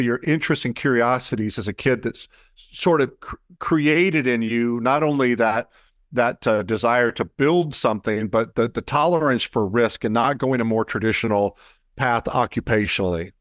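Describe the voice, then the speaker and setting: Male interview host, mid-pitched, unhurried, winding questions, dry sound. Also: no room sound. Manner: thoughtful, long winding questions. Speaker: podcast host.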